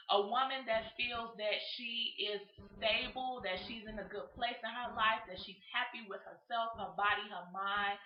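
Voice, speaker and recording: A woman giving a motivational relationship advice talk in an emphatic, preachy manner, repeating her points in parallel phrases. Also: mid-range voice. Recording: muffled echoey room with background noise.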